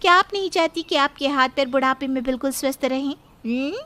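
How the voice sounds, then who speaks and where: A woman's voice: high-pitched, a little fast, bright, forceful tone, pitched above the performer's own voice. A woman voicing a strict, principled young policewoman daughter-in-law in a cartoon story, lecturing firmly and giving orders. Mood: bossy. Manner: firm, commanding, lecturing. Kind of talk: cartoon moral story acting.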